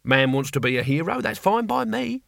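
A man doing a gruff old barbarian. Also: high voice, very animated, moderate pace, fairly bright tone, no room sound, clean studio sound, pitched above the performer's own voice, exaggerated voice. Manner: rough, plain-spoken and drawling. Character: old, blunt, gruff male barbarian hero.

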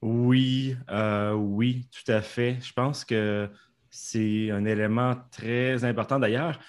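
A man's voice: medium pitch, steady tone, slow. A man from Canada with a Canadian French accent, an interpreter talking in a podcast interview from his home studio, hesitant with many fillers and restarts.

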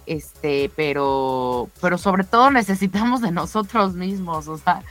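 A woman's voice: on the low side, lively intonation, unhurried, fairly bright tone. Chatty Mexican woman presenting, music underneath. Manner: chatty, addresses co-hosts directly. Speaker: radio show co-host presenting sports news. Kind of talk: conversational sports news report.

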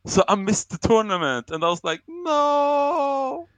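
A man's voice: extremely high pitch, very animated, unhurried, a bit bright, clean tone, dry recording. A young adult man from Sweden, co-hosting a casual podcast chat, telling rambling stories about himself with plenty of laughs and self-mockery. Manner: rambling storyteller, self-mocking, laughs often. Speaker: podcast co-host.

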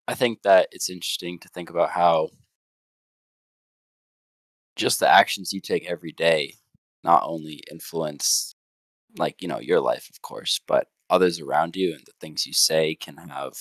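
A man in his early twenties co-hosting a podcast, speaking thoughtfully and in a measured way. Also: extremely low pitch, no echo. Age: 20s. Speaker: podcast co-host. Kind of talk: casual chat.

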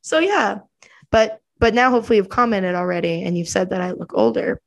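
A woman's voice: mid-range voice, creaky. Teasing, giggly woman in her twenties. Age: 20s. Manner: teasing, giggly, quick to interrupt. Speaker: podcast host. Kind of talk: casual podcast chat.

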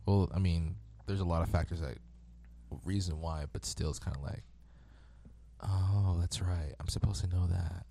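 A man in his twenties co-hosting a podcast, chatting in an animated way and using slang. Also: low-pitched, normal speed, creaky, a little hiss or hum. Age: 20s. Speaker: podcast co-host. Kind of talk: casual chat.

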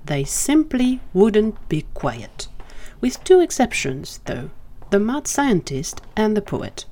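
A woman's voice: medium pitch, very animated, slow, warm tone. A woman reading scripted fiction as a storytelling narrator. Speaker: narrator of fan fiction audio drama.

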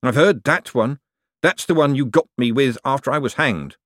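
A man voicing a harried, indignant male con artist in an audiobook, quick-tongued, protesting and sarcastic. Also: a little high, very animated, no echo, clean studio sound, voice pushed up. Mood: bitterly sarcastic. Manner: exasperated, protesting.

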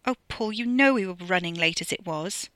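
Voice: medium pitch, moderate pace, bright, forceful tone, dry recording, natural voice. A narrator as a pouty young posh woman. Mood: sulky.

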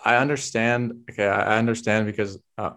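A man hosts a laid-back interview, chatting loosely and asking open questions. His voice is a little lower than most men's. He keeps it conversational and easy. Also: steady tone, a little fast, fairly bright tone. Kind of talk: casual interview chat.

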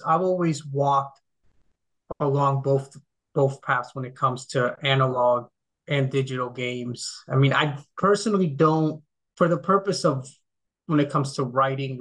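A middle-aged man from the Dominican Republic, a game critic answering interview questions in relaxed, thoughtful chat with many fillers and restarts. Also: mid-range voice, rough recording. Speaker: podcast guest, game critic. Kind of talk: casual conversational interview.

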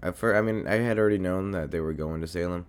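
A young man hosting his own podcast while sick and congested, talking in a rambling, casual way with lots of fillers and swearing, into a desk mic at home. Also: quick pace. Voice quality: deep hoarse voice. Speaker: podcast host. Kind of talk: casual solo podcast monologue. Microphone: desk mic near a computer.

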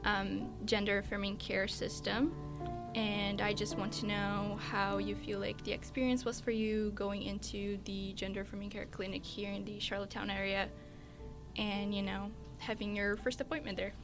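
A host from Brazil leading a casual interview chat, soft-spoken and gentle with her questions, laughing often. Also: medium pitch, level tone, moderate pace, music in the background. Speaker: podcast host.

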